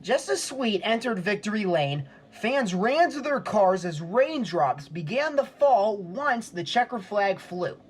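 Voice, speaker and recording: A man's voice: sits high, sing-song, measured pace, few pauses, breathy edge. A man working as a sportscaster, delivering play-by-play and scripted reporting.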